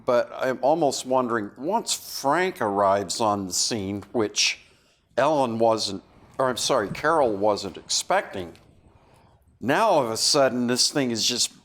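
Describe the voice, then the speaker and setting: A man with a mid-pitched voice speaks as an actor among colleagues. He offers ideas tentatively and deliberately in a casual discussion. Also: lively intonation.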